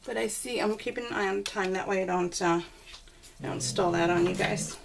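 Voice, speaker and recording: A woman talks casually to her viewers in a chatty, rambling, informal way, with a middle-of-the-road pitch. She sounds a little away from the microphone, and there is faint noise behind her. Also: a little fast, vocal fry. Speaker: crafting video host. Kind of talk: casual chat to viewers while crafting. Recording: distant mic with faint background noise.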